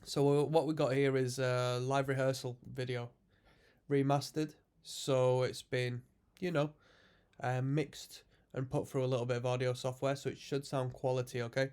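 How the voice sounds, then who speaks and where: A man's voice: medium pitch, flat delivery, normal speed, a little mellow, clear, resonant tone. Congested man reacting to music. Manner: chatty, musing, explains music terms. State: congested from a cold. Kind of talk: casual reaction commentary.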